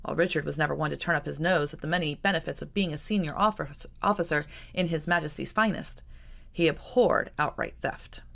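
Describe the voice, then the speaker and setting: A woman author reads her own novel aloud in measured, expressive narration. Her voice is lowish with a noticeable gravelly fry at the ends of phrases. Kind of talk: audiobook narration.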